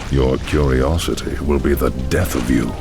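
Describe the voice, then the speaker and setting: An actor playing a sinister, taunting necromancer cult leader in a fantasy video game, theatrical and ominous. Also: male voice, rumbling low, normal speed, gravelly, music in the background. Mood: menacing.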